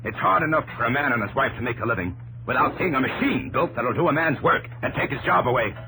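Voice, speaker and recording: A man's voice: medium pitch, expressive, quick pace, background noise, natural voice. An actor playing a hostile, blunt working-class New England craftsman with a threatening manner in a 1950s radio drama. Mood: menacing.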